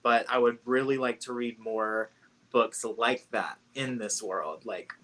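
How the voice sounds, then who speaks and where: A man hosting a casual book chat on a live stream, relaxed and chatty, laughing easily. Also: mid-range voice, measured pace, poor audio. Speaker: book discussion host. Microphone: video call.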